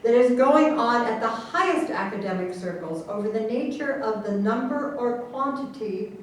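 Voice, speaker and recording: A woman in a staged reading playing an earnest, pompous government official who lectures with deliberate emphasis. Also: a little high, lively intonation, natural voice. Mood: formal, secretive. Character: government official earnestly explaining absurd secret science. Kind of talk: theatrical staged reading of a satirical play. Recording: very echoey room, distant mic, faint noise.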